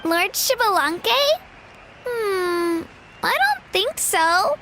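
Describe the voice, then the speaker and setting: Little girl fighter from a game, really high bright voice, earnest n modest, takes her time. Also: sing-song, very slow, slight background noise, exaggerated voice. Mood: thoughtful, helpful. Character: young girl warrior, earnest, modest and plucky. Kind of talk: animated video game voice acting.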